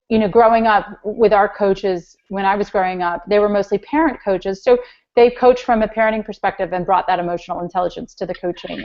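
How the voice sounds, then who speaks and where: A woman giving conversational expert advice as an interview guest on a conference call, explaining and restating her points. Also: mid-range voice. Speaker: guest, youth soccer parent educator. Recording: muffled, lo-fi conference call line.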